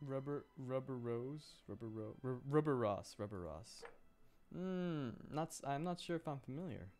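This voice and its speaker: A man's voice: mid-range voice, expressive, normal speed, a little mellow, slight background noise. A man chatting casually on a game livestream, rambling and often repeating his words as he reacts to viewers. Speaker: game streamer.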